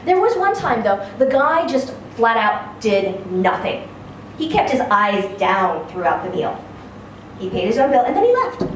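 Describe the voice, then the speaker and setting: Very reverberant room, distant mic, woman story reader, high voice, expressive phrasing, dramatic pauses. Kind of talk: live dramatic literary reading. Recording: very echoey room, distant mic, faint noise.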